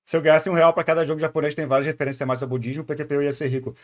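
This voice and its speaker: A man's voice: a little high, flat delivery, rapid-fire. A man with a Brazilian accent giving casual live commentary as a reaction streamer, rambling and thinking aloud in slang.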